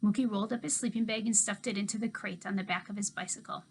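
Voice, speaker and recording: A woman teacher reading a children's novel aloud to her class with expressive storybook delivery. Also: medium pitch, fast talker, breathy edge.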